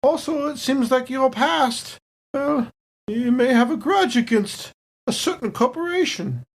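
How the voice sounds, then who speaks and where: A woman playing a suspicious, smooth-talking female space station agent with arch, hesitant politeness in a tabletop game. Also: high-pitched, expressive, unhurried, full character voice. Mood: politely menacing. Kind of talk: improvised tabletop roleplay.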